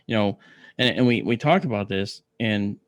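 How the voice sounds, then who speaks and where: A middle-aged man with a deep, gravelly voice from a paralyzed vocal cord, telling a story in an interview, clearing his throat often and using many fillers. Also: moderate pace, no room sound, studio quality. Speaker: interview guest, astronomer.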